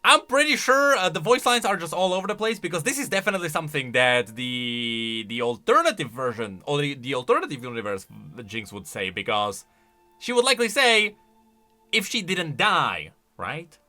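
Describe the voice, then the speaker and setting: A bright, forceful male voice with very lively intonation, commenting casually on video game lines. He jumps between emphatic bursts and quieter asides, while music plays faintly behind him. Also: fairly high pitch, a little fast.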